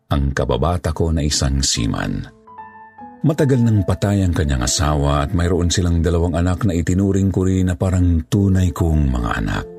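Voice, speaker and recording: A man's voice: rumbling low, creaky, a little mellow. A man narrating a scripted horror story from a letter sender, in a solemn, dramatic storytelling manner.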